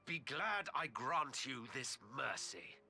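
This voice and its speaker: An actor playing a fierce, indignant rebel warrior in a video game, impassioned and formal in wording. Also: male voice, mid-range voice, big pitch swings, slow pace, creaky, bright, forceful tone, faint music behind, full character voice. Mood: righteous fury. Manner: impassioned, archaic formal diction. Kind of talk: scripted video game cutscene acting.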